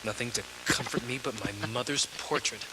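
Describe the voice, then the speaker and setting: A man's voice: mid-range voice, fairly even intonation, fairly quick, background noise, lightly acted. A man playing a bombastic, self-pitying patriotic colonel in a melodramatic parody of old radio adventure serials. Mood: maudlin reverence. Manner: grandiose, melodramatic speechifying.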